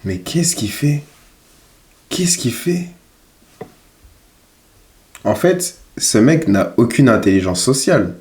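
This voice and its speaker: A man's voice: mid-range voice, big pitch swings, takes it slowly, pauses often, darkish tone, roomy sound. A man giving casual, critical commentary as a dating coach, emphatic and repeating phrases for stress. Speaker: dating coach reviewing footage.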